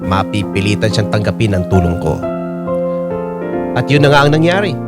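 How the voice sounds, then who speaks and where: An actor playing a devoted, stubborn Filipino lover narrating their own love story in a radio drama. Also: male voice, on the low side, very animated, normal speed. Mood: devoted, determined. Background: loud piano and keyboard music.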